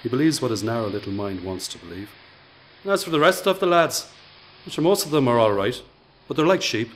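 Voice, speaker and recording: Actor as lively, wry Irish working man. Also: mid-range voice, brisk, close to an everyday voice, low-quality recording. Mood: candid, wry. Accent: Irish, Dublin. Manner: wry, teasing, confident. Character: cocky, dry-witted, self-assured male factory worker. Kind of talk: radio play acting.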